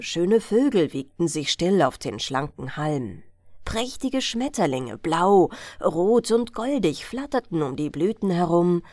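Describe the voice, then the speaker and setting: A woman reads a bedtime fairy tale as an audiobook narrator. Her delivery is measured and unhurried, with very lively intonation. The sound is dry and close. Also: on the low side, dry recording.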